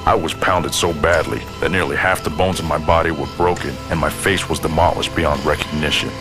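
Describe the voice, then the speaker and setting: A man's voice: extremely low pitch, steady tone, brisk, music in the background. A man voicing a battered, determined amnesiac wrestler who tells his story in a wry, conversational way for a video game. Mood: wry, earnest. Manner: wry, conversational, self-deprecating. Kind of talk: first-person game cutscene narration.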